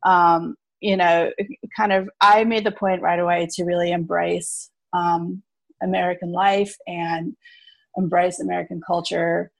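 A woman's voice: on the low side, flat delivery, measured pace, creaky, no room sound. A woman in her thirties, originally from South Africa, chatting in an interview with plenty of ums and light laughs. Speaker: farmer and innkeeper. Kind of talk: casual interview storytelling.

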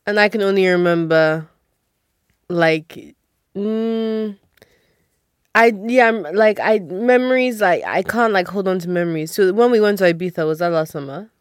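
A woman's voice: medium pitch, clear, resonant tone, no room sound, studio quality. Young woman chatting easily on a podcast. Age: 20s. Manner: easygoing, drawn-out filler "like". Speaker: podcast co-host. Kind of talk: casual chat.

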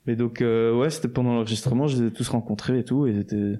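A man's voice: medium pitch, fairly even intonation, fast talker, dark tone. A man, chatting casually as an interview guest, loose and slangy with lots of fillers and restarts. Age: 20s. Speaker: guest musician.

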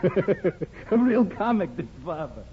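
A man's voice: sits high, big pitch swings, slow, slight background noise, heavily acted. An actor playing a cocky, sardonic tough guy with a menacing, needling manner in a 1950s radio drama. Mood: sly, taunting. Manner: sardonic, taunting. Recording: dull, husky-sounding old radio recording.

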